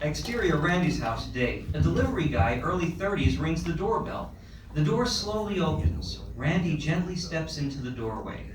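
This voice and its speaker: A man's voice: on the high side, sing-song, normal speed. A man reading stage directions as scripted narration at a live screenplay table read. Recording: echoey room, distant mic, clear background noise.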